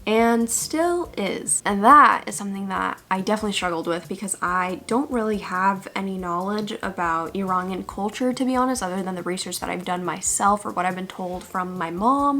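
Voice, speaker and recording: Young american woman, chatty vlog voice, playful and a bit sassy, mid pitch. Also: normal speed, hardly any pauses, bright, forceful tone. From United States. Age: 20s. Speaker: YouTuber. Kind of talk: casual vlog chat.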